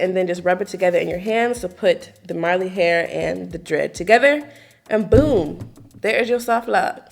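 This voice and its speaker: A woman in her twenties giving a casual, chatty hair tutorial, her voice a little raspy from just waking up, with lots of filler words. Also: medium pitch. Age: 20s. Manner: relaxed, chatty, lots of filler likes and ums. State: slightly raspy morning voice.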